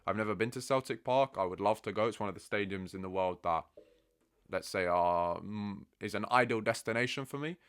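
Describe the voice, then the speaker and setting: A man in his early twenties from England, answering fan questions in a relaxed, chatty way and often saying "bro". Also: fairly low pitch, a little fast, clear, resonant tone. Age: 20s. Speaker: football content creator. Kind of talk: casual Q&A chat.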